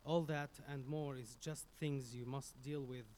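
A man's voice: medium pitch, fairly even intonation, a little mellow, a little hiss or hum. Earnest man with a Syrian Arabic accent. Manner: earnest, halting, with many restarts. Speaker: community speaker. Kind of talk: public speech to an audience. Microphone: stage microphone.

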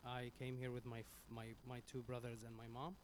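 A man from Syria with a Syrian Arabic accent, Arabic his first language, giving an earnest, halting public speech into a stage microphone as a community speaker. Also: mid-range voice, monotone, slight background noise. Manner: earnest, halting, with many restarts.

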